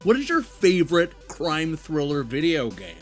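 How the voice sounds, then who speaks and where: A man delivering a scripted video game review as the show's host, with emphatic, deliberate phrasing. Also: on the high side, huge pitch swings. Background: background music.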